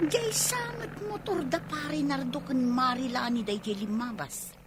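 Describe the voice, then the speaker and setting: A woman's voice: sits high, a little slow, heavy background noise. A woman playing a gossipy, bossy village wife with an Ilocano accent in a comedy radio drama, exclaiming and drawing out her words. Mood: gleeful gossiping.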